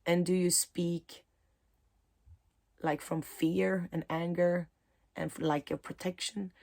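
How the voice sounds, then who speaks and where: A woman who is a mindset coach and spiritual teacher, speaking in a reflective talk to camera, pausing often with soft fillers. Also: on the low side, level tone, creaky.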